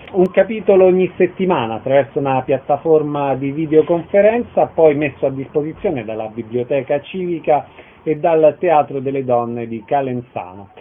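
A man hosting a radio interview, introducing and thanking his guests. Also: on the high side. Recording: phone-like narrow-band radio sound.

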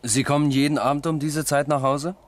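An actor playing a sober, sceptical police lieutenant, terse and matter-of-fact, in a German radio crime drama. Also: male voice, a little high, fairly even intonation, a little fast, natural voice. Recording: clear ambient noise.

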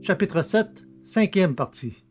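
A man's voice: sits high, expressive, slow, a little mellow, husky, faint music behind. A man narrating a science-fiction adventure novel as a scripted audiobook reading.

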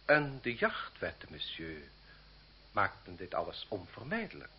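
A muffled, noisy old radio play recording carries a haughty marquis. He is a cruel, mocking aristocrat with pompous, formal phrasing and a pitch that swings freely. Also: wildly swooping pitch, a little slow, heavily acted. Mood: haughty, self-satisfied. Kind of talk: Dutch radio drama acting.

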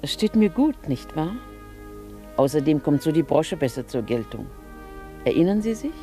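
Composed genteel lady, low-lit creaky voice. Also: sing-song, moderate pace, warm tone, faint music behind. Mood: genteel, light. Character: genteel upper-class married lady clinging to elegant past. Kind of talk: German radio drama acting.

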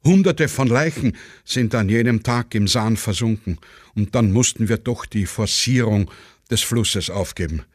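A man's voice: fairly low pitch, a little slow, dry recording, clean studio sound. A man playing a grave army major who reports in formal military language and pleads against hopeless orders. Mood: grave, urgent.

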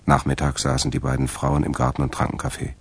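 A man narrates a crime radio drama in a rock-bottom register. His voice is husky and full of gravelly fry, delivering scripted prose. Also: a bass voice, sing-song, fast talker.

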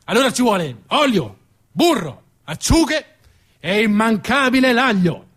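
A man's voice: very high-pitched, sing-song, measured pace, fairly bright tone. A man narrating as a stage storyteller, dramatic in delivery and repeating words for emphasis.

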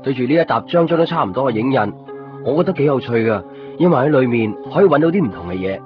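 A man's voice: mid-range voice, expressive, fast, dull audio, close to an everyday voice. A man acting an observant, introspective copy-shop worker who narrates a radio drama. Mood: reflective. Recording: band-limited 1990s radio recording, music behind. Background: clear background music.

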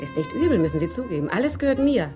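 A woman's voice: medium pitch, very animated, very fast, lightly acted. An actress playing a worldly, self-assured woman who teases and probes, in a German radio crime drama. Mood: knowing, boastful. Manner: teasing, self-assured, probing. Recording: faint music under the voice.

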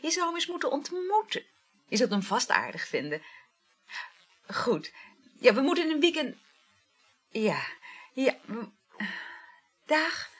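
A woman's voice: sits high, very animated, slow pace, pauses often, exaggerated voice. A woman acting a flighty, chatty married woman having a secret affair in a naturalistic radio play, gossipy and matter-of-fact about scandal. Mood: eager, gossipy.

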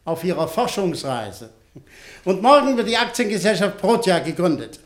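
An actor playing a brisk, effusive and domineering male industrialist in a radio drama, with a commanding, impatient manner. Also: sits high, big pitch swings. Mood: jovial, scheming. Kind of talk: theatrical German radio drama acting.

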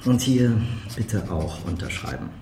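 A sceptical policeman in a radio drama. His low, mellow voice is measured and official, sounding in an echoey room. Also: animated. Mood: formal, patient. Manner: measured, official, matter-of-fact. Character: sceptical, patient male police interrogator. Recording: echoey room with faint background noise.

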